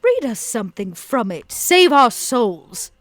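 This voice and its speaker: Teasing woman with an animated voice. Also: on the high side, measured pace, no echo. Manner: teasing, flirtatious. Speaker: player. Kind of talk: role-playing a flirtatious character.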